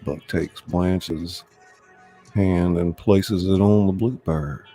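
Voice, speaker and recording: A man's voice: low voice, takes it slowly, dark tone, slightly rough. A man reading screenplay stage directions aloud as scripted narration. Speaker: narrator, reader. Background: faint music.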